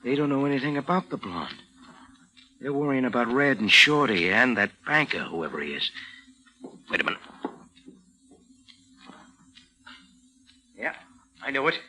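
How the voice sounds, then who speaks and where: A very bright, tense male voice with lively swings of pitch. a slick American small-time crook in a vintage radio thriller, glib, edgy and always scheming. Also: a little fast, long pauses, low-quality recording. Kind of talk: 1940s radio crime drama acting.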